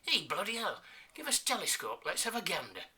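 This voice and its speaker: A man's voice: sits high, wildly swooping pitch, fairly quick, bright, forceful tone, husky, pitched above the performer's own voice, full character voice. A man voicing a thick, pompous medieval prince, blustering and dim-witted.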